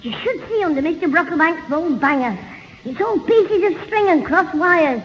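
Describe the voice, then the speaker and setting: An actor playing a cheeky, bossy Northern English schoolboy in a 1970s radio sitcom, quick with wisecracks. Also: very high voice, animated. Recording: dull, echoey, noisy old radio broadcast.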